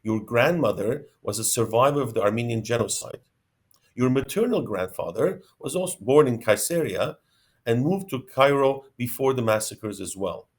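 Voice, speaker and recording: A man's voice: medium pitch, expressive, moderate pace. A man hosting an interview, reading formal, prepared introductions and questions. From Canada. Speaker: host.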